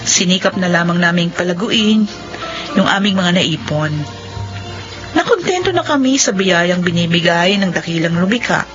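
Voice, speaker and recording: A woman's voice: on the low side, expressive, moderate pace, lightly acted. A woman acting as a virtuous, earnest young wife in a Filipino radio drama, with an emotional, melodramatic delivery. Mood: calm, reflective. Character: distressed young wife, virtuous, tearful, earnest office employee. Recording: muffled radio drama audio, music behind.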